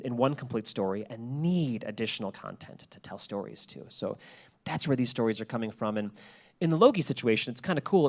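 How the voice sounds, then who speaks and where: A man presenting an informal lecture to an audience, explaining ideas and posing rhetorical questions as he goes. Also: medium pitch. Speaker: presenter giving a talk on podcast world-building.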